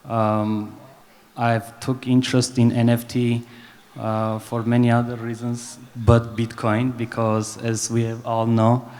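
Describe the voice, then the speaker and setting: A man's voice: medium pitch, completely flat delivery, slow pace, a little mellow. A man from Armenia introducing himself as a business panelist at a conference. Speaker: panelist, shipping business owner.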